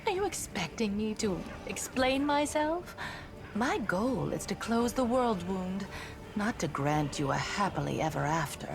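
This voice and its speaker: An actress playing a haughty, seductive demon lord in a video game, scheming and mocking, with a theatrical drawl. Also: female voice, medium pitch, big pitch swings, measured pace. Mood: smug, dismissive.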